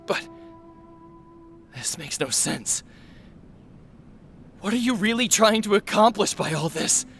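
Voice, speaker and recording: A gravelly, defiant young man. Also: sits high, lively intonation, takes it slowly, long pauses, music in the background, exaggerated voice. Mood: outraged. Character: defiant doomed young man facing a villain. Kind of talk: game cutscene voice acting.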